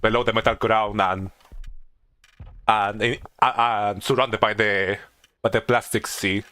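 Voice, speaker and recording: A man giving casual video game commentary as a streamer, haltingly thinking aloud and often repeating himself. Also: on the low side, fairly even intonation, pushed and bright, no echo.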